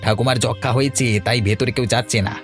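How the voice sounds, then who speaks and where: A man voicing gossipy village dialogue for a cartoon in an animated way, over music. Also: medium pitch, brisk. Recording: music behind the voice.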